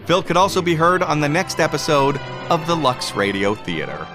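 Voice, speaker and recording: A man narrates a scripted podcast. His voice is a little high and moves about in a lively way, with music clearly behind it. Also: moderate pace. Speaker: podcast host.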